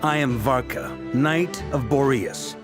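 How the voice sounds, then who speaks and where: An actor playing a hearty, wry, seasoned knight commander in a video game cutscene, talking casually and with self-deprecation. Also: male voice, medium pitch, slow, lightly acted. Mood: easygoing. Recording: game music behind the voice.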